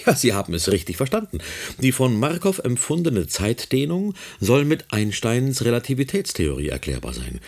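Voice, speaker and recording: An actor playing an eager, deferential research scientist in a radio drama, explaining formally with hesitant interjections. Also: male voice, on the low side, vocal fry, a little mellow, slightly rough, full character voice. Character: eager, deferential male research scientist reporting to his chairman. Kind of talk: radio drama dialogue, one side of a conversation.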